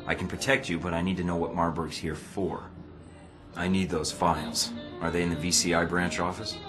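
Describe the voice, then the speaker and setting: Male actor for a cool, sardonic game spy: very low voice, controlled and terse, over prominent music. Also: little pitch movement, moderate pace, close to an everyday voice. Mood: firm, persuasive. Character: cool, guarded, dry-witted male spy operative. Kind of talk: video game dramatic dialogue. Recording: music playing behind the voice.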